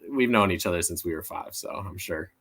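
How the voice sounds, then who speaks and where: A man with a low-pitched voice talks casually as a guest on a podcast. His accent is Midwestern American, coloured by some Australian. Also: fairly quick, creaky, crowd noise. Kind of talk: casual chat.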